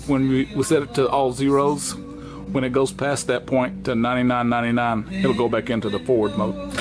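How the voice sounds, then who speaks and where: A man presenting an unscripted hands-on equipment walkthrough in a plainspoken, halting way, correcting himself as he goes. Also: medium pitch, level tone, music in the background.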